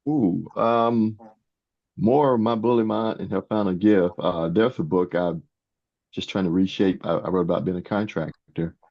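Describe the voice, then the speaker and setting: An older man in his sixties or beyond, answering interview questions as a guest author in a halting way, often repeating words. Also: low-pitched. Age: 60s or older. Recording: dull, muffled lo-fi sound.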